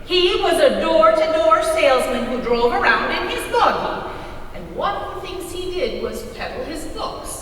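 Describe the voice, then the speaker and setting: A woman reminiscing in a folksy, slightly halting way as a performer in a staged reading. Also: very high-pitched, lively intonation, fairly bright tone. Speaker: readers theater performer. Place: large hall, live stage reading. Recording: echoey hall from far off, faint noise.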